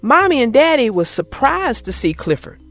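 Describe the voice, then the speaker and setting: Animated high-pitched woman reading a children's story. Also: normal speed, soft background music. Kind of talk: expressive read-aloud of a picture book.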